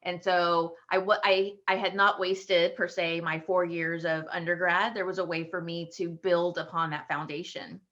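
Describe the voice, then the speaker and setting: A woman's voice: on the low side, little pitch movement, normal speed, few pauses, fairly bright tone, muffled recording. A woman from Texas in her forties, telling her career story to students with many ums and restarts. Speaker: panelist, patent attorney.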